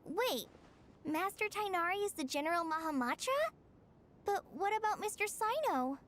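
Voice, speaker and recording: A woman's voice: very high-pitched, expressive, normal speed, a bit bright, big-room sound, slight background noise. A woman voicing a confused, earnest young female forest ranger trainee in a video game, hesitant and anxious. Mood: flustered.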